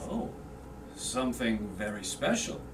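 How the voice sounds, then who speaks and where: A woman acts out a middle-aged army husband in a stage play, very low in voice and lively in pitch. He is brusque and modest, yet eager. The sound comes from across an echoey room. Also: unhurried, exaggerated voice. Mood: eager, impatient. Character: excited returning soldier husband, middle-aged man, gruffly modest. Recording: distant mic, echoey room, faint noise.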